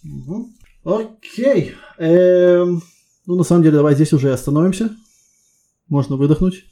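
Мужчина со скрипучим голосом, ободряющий интервьюер. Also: male voice, on the high side, moderate pace, dark tone. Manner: reassuring, encouraging. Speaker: technical interviewer. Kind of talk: casual interview feedback.

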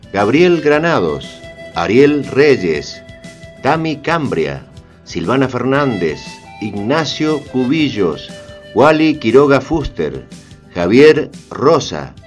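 A man's voice: medium pitch, very animated, takes it slowly, faint music behind. A man making formal, declamatory scripted announcements for a radio drama.

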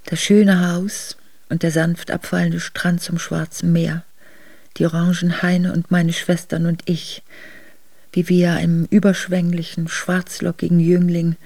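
An actress playing an exiled Russian princess, an ageing mother recalling hard years tenderly in a German radio drama, measured and reflective. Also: lowish voice, creaky, darkish tone, no echo, exaggerated voice. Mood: nostalgic. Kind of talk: German radio drama monologue, memoir narration.

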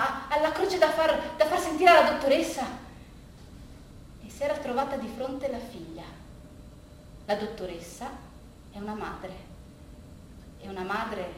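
A woman performing a theatrical monologue, telling a story dramatically with halting repetitions. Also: on the high side. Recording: very echoey room with faint noise.

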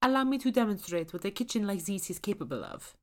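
A woman's voice: mid-range voice, sing-song, quick pace, pitched above the performer's own voice, natural voice. A fussy, proud French chef's ghost speaks with a thick French accent and lofty indignation, done by a woman narrator. The voice sits a little above the reader's own, with grand, formal phrasing. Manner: haughty, indignant.

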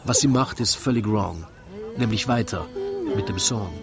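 A man reading a comic rhymed narration in a wry, theatrical, measured way. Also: fairly low pitch, over loud music. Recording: lively crowd chatter behind. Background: crowd murmur.

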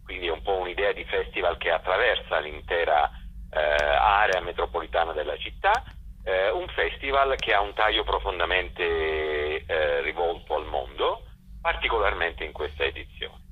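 A man explaining a programme as a festival director in a radio interview, measured and pausing between items, over a telephone line. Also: sits low, very animated, breathy edge.

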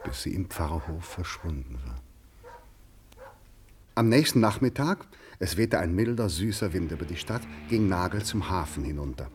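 Deep-voiced male radio drama narrator. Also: big pitch swings, normal speed, slight background noise. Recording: music behind the voice. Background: music.

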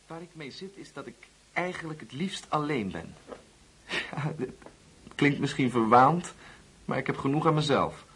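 A man playing a polite, lonely young orphan guest in a Dutch radio play, speaking haltingly and apologetically. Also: on the high side, expressive, fairly bright tone, slightly rough, exaggerated voice. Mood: hesitant, confiding. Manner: halting, apologetic, with self-corrections.

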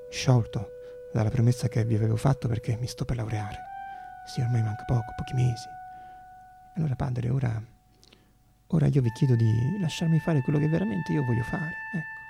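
Casting: man playing idealistic young student, intense, halting radio-play delivery, rough creaky edge. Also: medium pitch, close to an everyday voice. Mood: pleading, respectful. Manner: intense, halting, repeats words, drops to whispers. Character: earnest young male student inventor, idealistic, anxious, excitable. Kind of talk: theatrical radio monologue.

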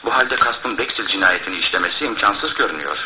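A man's voice: on the low side, animated, fast, close to an everyday voice. A male actor playing a courteous, businesslike police inspector in a radio drama, matter-of-fact and official. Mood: brisk, official. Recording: tinny, noisy old radio recording.

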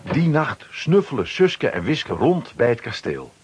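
A man's voice: medium pitch, big pitch swings, unhurried, a little mellow, breathy edge. A man narrating a children's radio play from a script, dramatically.

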